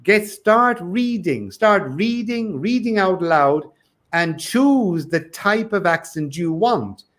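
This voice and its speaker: A man's voice: sits high, expressive, slow pace, a bit bright. A man from Ireland working as an English teacher, chatting casually on a livestream and coaching learners in an encouraging, emphatic way, often repeating his phrases.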